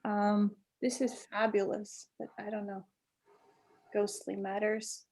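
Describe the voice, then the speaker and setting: A woman's voice: medium pitch, little pitch movement, slow pace, a little mellow. Hesitant woman from Portugal on a call. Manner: hesitant, many ums and restarts. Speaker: guest. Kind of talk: casual video-call chat. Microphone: video call.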